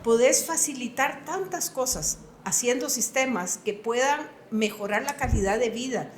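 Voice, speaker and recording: A woman's voice: on the high side, lively intonation, moderate pace, roomy sound, a little hiss or hum. A woman in her sixties with a Costa Rican accent, a retired computing professor answering interview questions in an earnest, emphatic way. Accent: Costa Rican Spanish. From Costa Rica.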